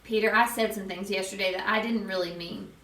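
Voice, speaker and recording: A woman voicing an emotional, doting but sharp mother, motherly and emphatic, in a storybook. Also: mid-range voice, fairly even intonation, brisk, roomy sound, far from the mic, lightly acted. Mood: apologetic.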